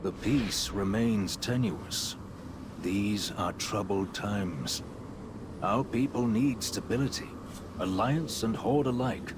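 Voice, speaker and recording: A man playing an earnest, burdened leader in a fantasy video game, speaking in a measured, statesmanlike way. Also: on the low side, noisy background. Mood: sober, troubled. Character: earnest, burdened male leader of his people.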